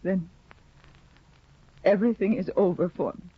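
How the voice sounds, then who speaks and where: A woman's voice: on the low side, a little slow, husky. An actress in a 1950s radio play, voicing a devoted young woman who pleads and cries out in heartbreak. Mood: distraught. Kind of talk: 1950s radio drama acting. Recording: dull, dry 1950 radio broadcast.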